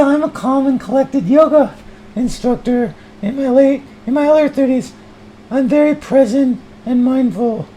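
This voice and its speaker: A man voicing a calm, gentle female yoga instructor in her early thirties, soft and reassuring. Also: very high voice, slight background noise, rough recording. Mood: serene. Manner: slow, deliberate, reassuring. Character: calm soothing young female yoga instructor, serenely mindful.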